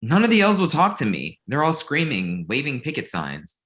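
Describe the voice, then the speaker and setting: A man's voice: mid-range voice, wildly swooping pitch, moderate pace, a bit bright. A man playing an earnest, exasperated male manager in a comedy table read, urgent and conversational. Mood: harried.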